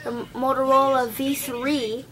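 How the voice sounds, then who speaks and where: Rambling high-voiced young Indian woman. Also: little pitch movement, measured pace. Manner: rambling, thinks aloud, corrects herself. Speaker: gadget reviewer. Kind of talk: casual unboxing vlog. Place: home desk, indoors. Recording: noisy home camera recording.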